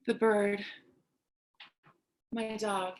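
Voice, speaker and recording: A woman's voice: mid-range voice, little pitch movement, very slow. A woman playing a lone, anxious apocalypse survivor in a theatrical stage monologue, wry and conversational. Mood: haunted, subdued.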